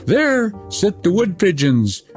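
A man's voice: sits high, sing-song, slow pace, over music, pitched above the performer's own voice, full character voice. A man voicing a wild, bossy little robber girl who threatens and teases.

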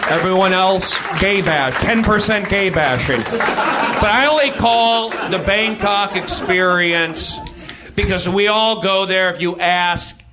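A man from Los Angeles with an American accent and a nasal voice, rambling and halting as he makes casual comedic confessions. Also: high-pitched, background noise. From Los Angeles, United States. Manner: rambling, halting, self-interrupting. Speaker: comedian guest and podcaster.

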